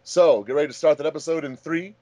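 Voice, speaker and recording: A man's voice: a little high, fast, darkish tone, dry recording. A man hosting a casual commentary track as a fan dub director, chatty and jokey.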